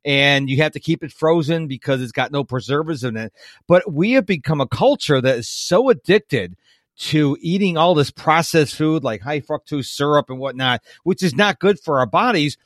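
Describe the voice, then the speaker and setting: A man's voice: a little high, expressive, moderate pace, dry recording, studio quality. A man in his fifties hosting an interview, chatty and prone to long rambling questions. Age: 50s. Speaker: podcast host. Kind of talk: casual interview.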